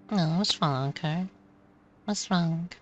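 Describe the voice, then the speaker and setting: A woman's voice: lowish voice, lo-fi sound, slight background noise. A woman game streamer giving casual playthrough commentary in a hesitant way, often repeating words as she stammers.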